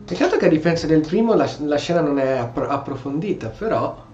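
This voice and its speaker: A man with an Italian accent giving casual, expressive role-play commentary as a gameplay streamer. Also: a little high, fast talker, faint music behind. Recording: echoey room, dull muffled sound.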